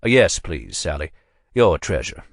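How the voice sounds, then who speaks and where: A man's voice: rumbling low, very animated, measured pace, gravelly, no room sound, studio quality, exaggerated voice. A man voicing a polished, cunning male FBI agent with a Southern accent, courteous but coolly commanding.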